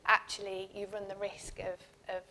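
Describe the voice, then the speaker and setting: A woman's voice: medium pitch, monotone, moderate pace, pushed and bright, a little hiss or hum, rough recording. A woman speaking on an industry panel in a packed conference room, candid and earnest. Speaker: panelist from an insurance firm. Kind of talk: panel discussion answer.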